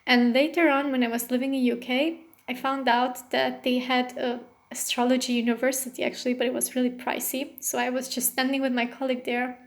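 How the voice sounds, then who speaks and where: A woman's voice: high voice, little pitch movement, fairly quick. A woman from Romania, an astrologer, talking casually in a rambling way with many fillers and non-native English phrasing. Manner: rambling, with frequent fillers and self-corrections. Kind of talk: casual personal monologue.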